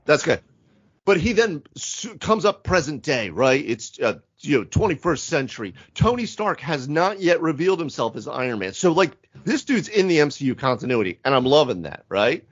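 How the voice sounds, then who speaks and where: A man's voice: a little high, sing-song, normal speed, highs cut off. A man chatting casually as a podcast co-host about tabletop games, informal and slangy, often saying dude and right.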